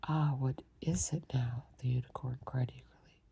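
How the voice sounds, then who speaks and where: A woman reading a storybook aloud in a soft, breathy whisper. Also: rumbling low, steady tone, moderate pace, slight background noise. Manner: soft unvoiced whisper throughout. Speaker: storybook reader.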